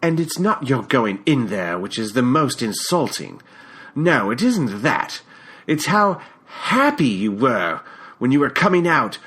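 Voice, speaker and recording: A man's voice: mid-range voice, big pitch swings, a bit bright, slightly rough, exaggerated voice. A man reading an audiobook, voicing a stern, wounded older male artist with a British accent who speaks pompously and drags out his scornful words. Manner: pompous, indignant, drawn-out emphasis. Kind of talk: audiobook character voice.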